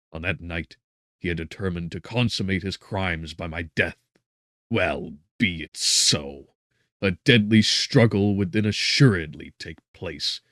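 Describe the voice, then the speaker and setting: A tormented young gentleman as a man performs him recounts his doom with brooding, theatrical emphasis. His voice is on the low side, recorded dry, in the manner of a gothic audiobook. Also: no echo. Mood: grim resolve. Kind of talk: dramatic gothic audiobook narration.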